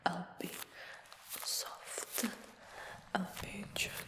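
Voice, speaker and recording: A woman whispers in a soft, coaxing tone, lingering over repeated words for ASMR. She speaks extremely slowly, each phrase drawn out. Her voice hangs in a roomy space with a long, trailing reverb. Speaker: ASMR roleplayer. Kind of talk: whispered ASMR fantasy roleplay.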